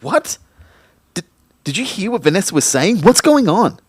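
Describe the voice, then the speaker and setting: Man acting agitated, suspicious young ghost, lively pitch. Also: moderate pace, husky, dry recording, clean studio sound, full character voice. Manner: agitated, questioning. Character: confused, suspicious dead young man demanding answers. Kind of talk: audio drama dialogue.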